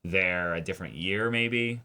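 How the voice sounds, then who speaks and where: Man, lowish pitch, very bright forceful tone, animated, questioning, casual anime discussion. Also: normal speed, smooth. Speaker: reaction show host.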